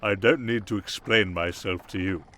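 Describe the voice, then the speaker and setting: A man portrays a cold, imposing vampire duke in a fantasy audio drama. His low voice is stern and formal, giving curt commands. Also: normal speed, a bit bright, close to an everyday voice. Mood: curt, commanding.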